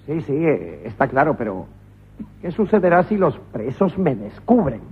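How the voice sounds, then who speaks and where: An actor playing a dim, hapless police inspector in a radio comedy drama, husky and hesitant, stumbling over his words. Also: mid-range voice, sing-song, normal speed, full character voice. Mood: bewildered. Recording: muffled old radio broadcast.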